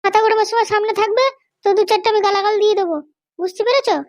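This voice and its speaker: Man doing a squeaky, high-pitched bossy cartoon wife. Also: fairly even intonation, rapid-fire, no room sound, studio quality. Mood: scolding. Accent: West Bengal. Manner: scolding, threatening. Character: bossy, short-tempered middle-aged wife and mother-in-law. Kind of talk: comic cartoon dialogue.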